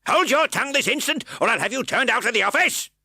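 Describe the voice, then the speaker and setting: A man's voice: a little high, sing-song, normal speed, no room sound, clean studio sound, voice pushed up, exaggerated voice. A man reading aloud and voicing a harsh, sneering male magistrate who barks orders and insults. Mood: barking, scornful. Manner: barking, insulting, shouting orders.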